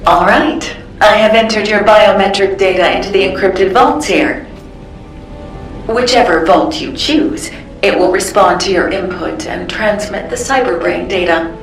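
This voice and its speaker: A woman plays a serene ancient guardian in a video game. Her medium-pitched voice is stately and instructive. It sounds distant and echoing, over faint music. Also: unhurried, natural voice. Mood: guiding. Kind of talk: video game dialogue acting.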